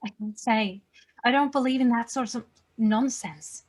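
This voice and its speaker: Woman acting anxious expectant mother, higher-pitched voice. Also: unhurried, a little mellow. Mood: urgent, guarded. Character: anxious, determined expectant mother in apocalyptic thriller.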